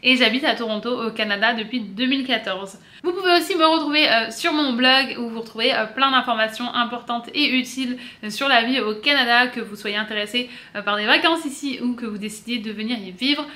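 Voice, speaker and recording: A woman from south-east France with a French accent, giving casual vlog advice in a chatty, halting way with frequent self-corrections. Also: a little high, pushed and bright. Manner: chatty, hesitant, self-correcting with many pauses. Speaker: vlogger. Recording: roomy echo.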